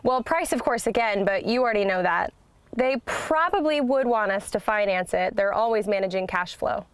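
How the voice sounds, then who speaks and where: A woman's voice: medium pitch, expressive, moderate pace, clean tone, no echo, lightly acted. A woman playing a busy, plainspoken construction equipment manager, answering as the customer in a scripted business phone call. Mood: matter-of-fact. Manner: matter-of-fact, plainspoken. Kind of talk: scripted business sales call role-play.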